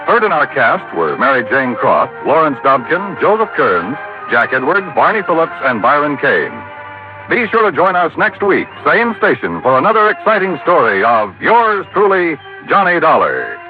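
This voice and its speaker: An American man announces in showy 1950s radio style. His slightly high voice sweeps up and down dramatically. It is a muffled vintage broadcast with music playing. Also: wildly swooping pitch, moderate pace. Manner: showy, emphatic billing.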